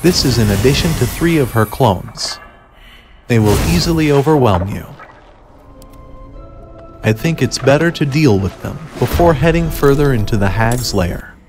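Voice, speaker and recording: A man's voice: mid-range voice, lively intonation, moderate pace, music in the background. Matter-of-fact man narrating a game guide. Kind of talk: scripted walkthrough narration. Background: game ambience.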